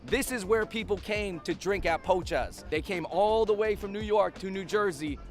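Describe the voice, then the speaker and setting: A man hosting a casual food vlog, chatty and upbeat, slightly hoarse, exclaiming over dishes as he eats in restaurants and on a city street. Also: high-pitched, moderate pace, faint music behind.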